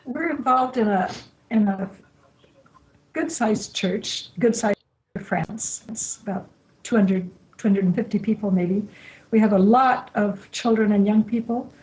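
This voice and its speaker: A woman in her sixties who grew up in Brazil, a missionary Bible teacher answering interview questions in a calm, measured way. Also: mid-range voice, poor audio.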